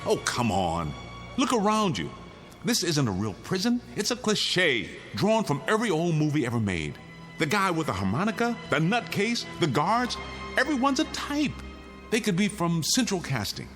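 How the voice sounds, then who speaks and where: A man's voice: on the high side, huge pitch swings, normal speed, fairly bright tone, soft background music. An actor playing a weary, insistent condemned man pleading his case in a radio drama. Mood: agitated, arguing. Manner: insistent, pleading, arguing in rhetorical questions. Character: condemned male prisoner insisting the world is his nightmare, weary, urgent.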